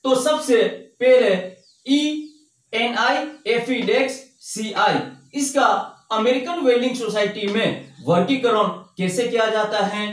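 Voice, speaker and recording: A middle-aged man teaching welding at a whiteboard, explaining slowly and methodically with lots of repetition, on a lapel mic indoors. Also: very high voice. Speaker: welding instructor. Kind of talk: whiteboard lesson. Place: indoor room in front of a whiteboard. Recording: very echoey room, distant mic.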